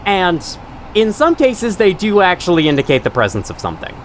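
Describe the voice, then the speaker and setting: A young man talks through a game in loose, rambling commentary, swearing now and then. His nose sounds stuffy, and his pitch swings widely up and down as he chats. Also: huge pitch swings, brisk, a little hiss or hum. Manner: rambling, chatty, swears casually. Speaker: gaming channel host. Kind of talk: casual let's-play commentary.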